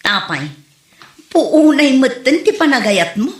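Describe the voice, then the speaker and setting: A woman's voice: high voice, sing-song, slow pace, heavily acted. A woman acting a practical older relative, kind but bluntly disapproving, in a comedy drama recorded in an echoey room. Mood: stern, disapproving.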